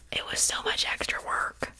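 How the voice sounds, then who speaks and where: Woman doing a gossipy witch for asmr roleplay, all breathy whisper, super crisp n sharp, kinda haughty, takes her time. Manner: unhurried, confiding, casually haughty. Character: chatty, gossipy witch cooking a mortal into stew.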